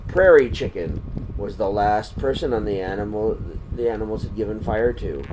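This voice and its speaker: A man reading a children's storybook aloud as a storyteller, with deliberate phrasing and pauses. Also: on the low side. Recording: steady air-conditioner noise behind.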